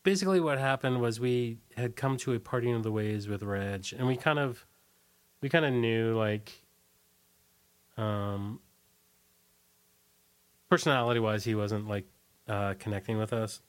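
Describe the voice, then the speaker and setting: A middle-aged American man reminiscing casually as an interview guest, talking in a halting, rambling way with lots of "like". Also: on the low side. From Washington, D.C., USA. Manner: halting, hesitant, rambling, frequent "like".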